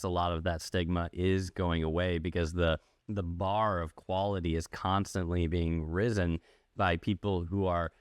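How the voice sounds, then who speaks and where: Deep, smooth male podcast host. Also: no echo, clean studio sound. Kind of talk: casual interview conversation.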